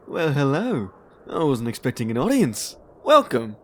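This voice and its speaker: Man playing a lively, charming young demon bard. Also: medium pitch, takes it slowly. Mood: amused, welcoming. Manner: smooth, showy, amused. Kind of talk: fantasy audio drama acting.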